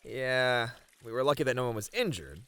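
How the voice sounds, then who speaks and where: Casting: male actor as easygoing gamer event manager, very animated intonation, mid pitch, chatty and a bit flustered, ASMR roleplay. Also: normal speed, clean tone. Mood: animated, candid. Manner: casual, chatty, hesitant filler-laden banter. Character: friendly, slightly flustered male gaming tournament manager.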